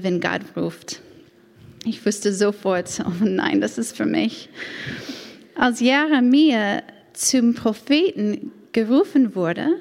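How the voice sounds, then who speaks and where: A woman's voice: mid-range voice, gravelly. A woman in her thirties from the United States, a native English speaker with an American accent, giving an earnest, careful personal testimony in German at church, with a few grammar slips. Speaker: church women's ministry leader. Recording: long hall reverb.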